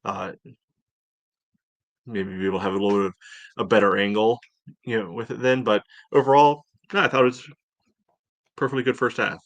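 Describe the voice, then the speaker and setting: A man co-hosting a soccer podcast, breaking down a match in casual, halting talk. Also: fairly low pitch, fairly even intonation, dry recording. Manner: halting, peppers talk with "you know". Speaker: soccer podcast co-host and analyst.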